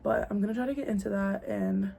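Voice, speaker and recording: A woman's voice: medium pitch, sing-song, fast talker, vocal fry, dark tone. A young American woman talking casually to camera, full of "like" and "girl", sometimes dropping to a whisper, recorded on a built-in mic with faint outdoor noise. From United States. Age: young adult. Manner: chatty, lots of "like" and "girl", drops to a whisper at times. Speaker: vlogger. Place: noisy spot with outside sound. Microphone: built-in device mic, no proper microphone.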